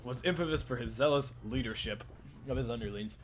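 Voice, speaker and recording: A man's voice: medium pitch, fairly quick. A man narrating a story aloud in an informal cold read, stumbling over words and correcting himself. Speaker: narrator in a fan reading of a visual novel.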